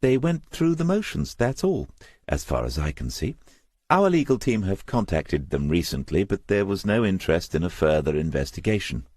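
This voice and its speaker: A man's voice: low voice, sing-song, moderate pace, darkish tone, dry recording, studio quality, pitched above the performer's own voice. A man voicing a young corporate insurance woman in an audiobook, with a polite, formal, matter-of-fact manner. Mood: brisk, businesslike.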